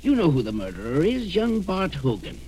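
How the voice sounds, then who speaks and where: An actor playing a blunt, excitable lawyer in an emphatic, formal 1940s radio mystery style, on an old radio recording. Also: male voice, fairly high pitch, normal speed, a little hiss or hum. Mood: insistent.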